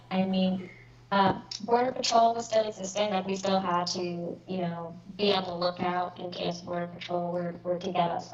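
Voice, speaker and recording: Guest: young woman, light Kentucky accent, lowish voice, reflective, lo-fi call audio. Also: a little hiss or hum. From Mexico, raised in Northern Kentucky. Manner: reflective, many fillers. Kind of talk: video call interview.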